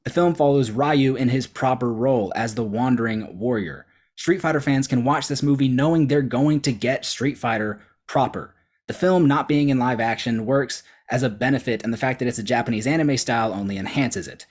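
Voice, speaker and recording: A man's voice: mid-range voice, fairly quick, a little mellow. A man with a mid-range voice makes a prepared case as a debater. He is sarcastic and emphatic, with casual swearing. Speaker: debater on a movie show. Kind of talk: prepared persuasive argument with sarcastic jabs.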